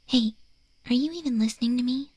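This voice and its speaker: A woman playing a friendly, curious young wolf girl in an ASMR roleplay, speaking softly and gently in a breathy, hesitant near-whisper. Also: a little high, a little fast, dry recording. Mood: curious, puzzled. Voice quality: soft, breathy, mostly whispered.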